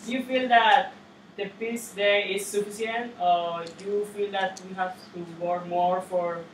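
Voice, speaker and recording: A woman's voice: mid-range voice, steady tone, unhurried, roomy sound, recorded from a distance, slight background noise, low-quality recording. A woman from Costa Rica, a student, asking a question hesitantly and a little nervously.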